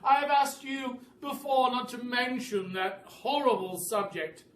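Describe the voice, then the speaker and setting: A man voicing an excitable, pompous roly-poly bird in a children's storybook, exclaiming and repeating words urgently. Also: very high voice, measured pace, voice pushed up. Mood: indignant. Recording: roomy, distant mic, faint hiss.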